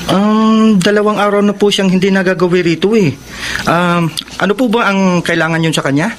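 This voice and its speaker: A man's voice: sits high, steady tone, moderate pace, slight background noise, natural voice. An actor playing a polite, helpful male construction foreman in a Filipino radio drama.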